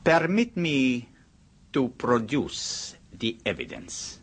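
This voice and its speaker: A suave, mocking host. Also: male voice, mid-range voice, big pitch swings, slow pace, noisy background. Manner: smooth, teasing, theatrical with chuckles. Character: Luigi, suave, mocking, worldly male host. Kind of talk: 1970s radio drama acting. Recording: old radio broadcast.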